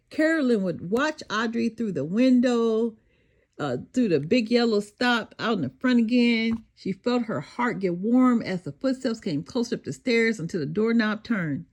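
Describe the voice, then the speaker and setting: An older woman reading a children's story aloud in an expressive storytelling style. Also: a little high, unhurried.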